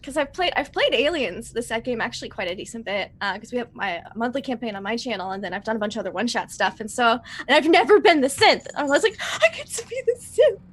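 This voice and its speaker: A woman with a high voice talks as a player in a tabletop roleplaying session. She is animated and jokey, moving between casual chat and reading notes aloud. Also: fast talker, hardly any pauses, breathy edge. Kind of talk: casual post-game chat with read-out notes.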